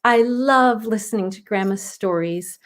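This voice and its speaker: A woman reading a storybook as an eager, excitable young granddaughter, bubbly and pleading. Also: medium pitch, measured pace, natural voice. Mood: excited.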